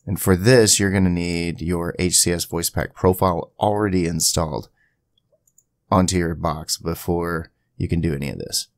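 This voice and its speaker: Deep, gravelly man walking through software. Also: steady tone, moderate pace, no echo. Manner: conversational, chatty asides. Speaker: tutorial video creator. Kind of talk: casual screen-share software tutorial. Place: indoors at a home PC, voice-over to screen capture.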